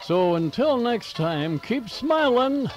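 A man's voice: extremely high pitch, very animated, slow pace, darkish tone. An American man in his eighties hosting old-time radio, with a folksy, theatrical announcer delivery and a nostalgic scripted style. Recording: home cassette tape with music.

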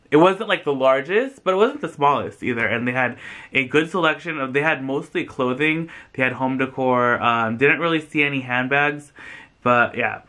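A bright, forward male voice with an American accent and a medium pitch. He chats effusively in a loose, rambling vlog style, peppering his sentences with "like". He sounds like a man in his thirties talking to a camera at home. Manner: effusive, chatty, rambling with frequent "like". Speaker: vlogger and thrift reseller. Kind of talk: casual chatty vlog to camera. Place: small furnished room indoors, on a sofa.

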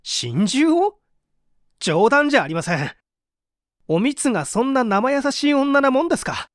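A man's voice: very high-pitched, sing-song, moderate pace, no room sound, clean studio sound. Man acting a subdued, evasive murder suspect. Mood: bitter, scornful. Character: subdued, evasive male painter under interrogation for murder. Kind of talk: dramatised reading of a mystery story.

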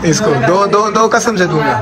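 A young man with a Saraiki accent jokes his way through a street vlog in a slightly high voice. He teases the people around him and laughs a lot. Filmed outdoors in a courtyard on a phone held close. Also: expressive, moderate pace, a loud crowd. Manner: playful, teasing, laughs often. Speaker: vlogger host. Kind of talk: joking street vlog banter.

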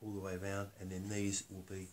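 An older man giving a casual step-by-step demonstration, halting and matter-of-fact as he thinks aloud while working. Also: low voice, monotone. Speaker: woodworker demonstrating his build. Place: concrete-block workshop and house room. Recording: echoey room, faint noise, handling clicks.

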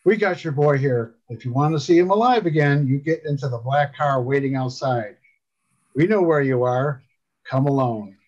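A man's voice: medium pitch, moderate pace, a little mellow, lo-fi sound, lightly acted. Man playing a smug, threatening kidnapper henchman. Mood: smug menace.

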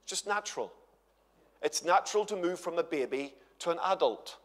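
Guy in his 50s preaching on stage, bit high voice, big echoey church hall. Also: normal speed. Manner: chatty and humorous, lively storytelling. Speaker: guest conference speaker. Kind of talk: conference sermon to a live audience. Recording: long hall reverb.

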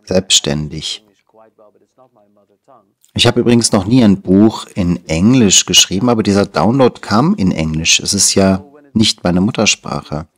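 Deep-voiced Dutch man in his sixties. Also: lively intonation, a bit bright, slightly rough. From Netherlands. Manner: thoughtful, unhurried, gestures while talking. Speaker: interview guest, author. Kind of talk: reflective conversational storytelling. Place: small TV studio, indoors.

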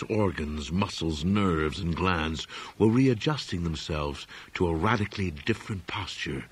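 Husky, low-voiced man narrating horror. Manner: grave, literary, measured phrasing. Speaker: audiobook narrator. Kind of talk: dramatic scripted horror story narration.

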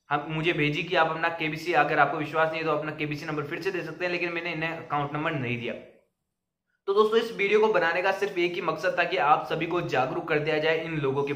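Casting: young male video creator, high forceful voice, earnest explainer delivery, roomy sound. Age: young adult. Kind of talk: explanatory talk to camera, cautionary. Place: small indoor room with plain wall. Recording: roomy indoor echo.

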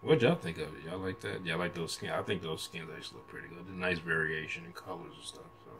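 A man's voice: deep voice, big pitch swings, fast, vocal fry, fairly bright tone, a little hiss or hum. An American man in his thirties giving casual, slangy reaction commentary in a rambling, hesitant way, recorded in a room at home. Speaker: reaction video host. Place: small room at home, indoors.

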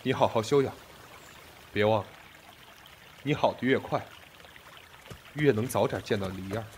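A man's voice: on the low side, moderate pace, slight background noise, lightly acted. A man acting a commanding, possessive sect master in an ancient Chinese audio drama, with an imperious, controlled delivery. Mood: cool, controlled.

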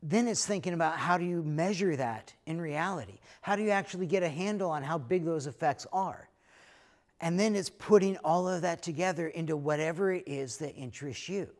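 A middle-aged American man, head of an economics school, talking casually to prospective students in a relaxed way, with many fillers. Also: a little high, fairly quick. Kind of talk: recorded talk to prospective students. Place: lecture room, then plain backdrop indoors.

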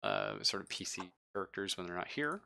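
A man speaking casually and haltingly as game master of an online tabletop game, thinking aloud. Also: low voice, wildly swooping pitch, vocal fry, pushed and bright, dry recording.

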